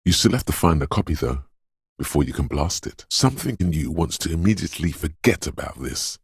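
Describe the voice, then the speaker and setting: A man's voice: expressive, fairly quick, no echo, clean studio sound, exaggerated voice. A man voicing a brooding, eerie inner voice of intuition in a video game, speaking intimately and poetically in a mournful, ominous, dreamlike way. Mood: urgent, wry. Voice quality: very deep, husky, gravelly whispery rumble. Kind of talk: video game voice acting, literary second-person narration.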